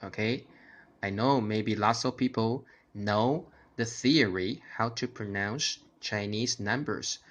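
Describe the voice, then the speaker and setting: A man's voice: lowish voice, a bit bright. A man teaching a language lesson, patiently repeating each number word by word for learners to copy. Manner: slow, patient repetition, drilling each word.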